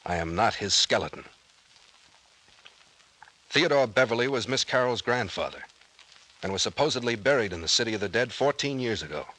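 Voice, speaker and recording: An actor playing a brusque, commanding private investigator captain with gruff, slangy talk in an old-time radio drama. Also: male voice, fairly low pitch, lively intonation, normal speed, long pauses, bright, forceful tone, poor audio. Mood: narrating grimly. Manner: gruff, bossy, folksy slang. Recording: vintage radio drama recording.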